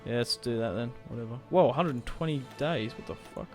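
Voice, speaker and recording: A man's voice: mid-range voice, a little fast, darkish tone, faint music behind. A young man casually commentating a strategy game he plays, chatty and rambling, swearing now and then. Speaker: gaming YouTuber. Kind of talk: casual let's-play commentary.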